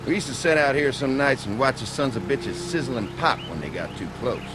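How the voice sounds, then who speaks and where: An actor playing a friendly, easygoing rural dairy farmer with a folksy Southern US drawl in a video game. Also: male voice, mid-range voice, expressive, brisk, background noise, close to an everyday voice. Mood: affable.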